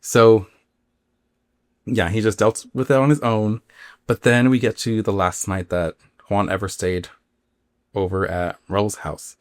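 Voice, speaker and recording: A man who hosts a podcast, telling a story casually in an informal, halting way full of filler words. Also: on the low side, steady tone, no room sound, studio quality. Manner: informal, halting, filler-heavy with acted-out quotes. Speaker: podcast host.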